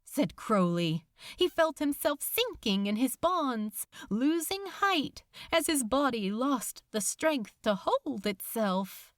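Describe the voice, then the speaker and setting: Very slow, dramatic woman narrator. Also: sits high, sing-song, dry recording, studio quality. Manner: theatrical, intense, deliberate. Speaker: podfic narrator. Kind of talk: dramatic scripted fiction narration.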